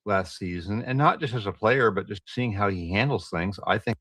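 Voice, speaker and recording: A man with a lowish voice breaks down a player's prospects in a measured, hedging way. He thinks aloud as he goes, and the sound is dry and close. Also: fairly bright tone, no echo. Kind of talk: measured sports analysis.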